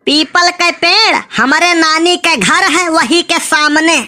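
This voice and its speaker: A woman's voice: extremely high pitch, takes it slowly, pushed and bright, exaggerated voice. A woman voicing an evasive village debtor in a comic cartoon, whining and protesting excuses in an Awadhi accent. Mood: stalling. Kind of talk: exaggerated comic cartoon acting.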